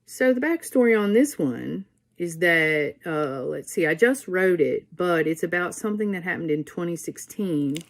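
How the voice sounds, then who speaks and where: A woman's voice: lowish voice, lively intonation, normal speed. A middle-aged woman poet speaking informally and reading at a poetry event.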